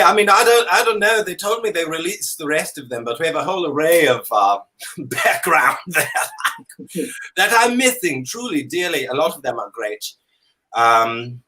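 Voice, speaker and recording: Theatrical man with a bright, forceful voice. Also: on the high side, slight background noise, low-quality recording. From Canada. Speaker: drag comedy performer, talk show guest. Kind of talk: comic interview chat in a dandy persona. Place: indoor room at home, on a video call. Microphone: video call.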